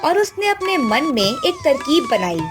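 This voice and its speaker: A woman's voice: sits high, sing-song, measured pace. A woman narrator reading a scripted moral tale for a cartoon. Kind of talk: scripted children's story narration. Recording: music bed behind the voice. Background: background music.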